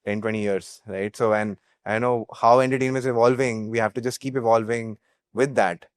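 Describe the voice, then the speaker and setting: A man's voice: mid-range voice, fairly even intonation, fairly quick, clear, resonant tone, no echo. A young man with an Indian accent and a mid-range voice, answering interview questions as a company founder. His delivery is earnest and conversational, recorded very dry with almost no room sound. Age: young adult. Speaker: startup co-founder being interviewed. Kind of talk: business interview.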